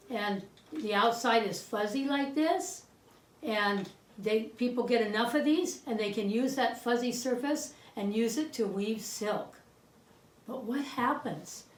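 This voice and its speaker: A woman giving an educational talk for children in short, simple sentences, posing questions to her listeners. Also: medium pitch. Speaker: co-presenter. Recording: roomy indoor echo.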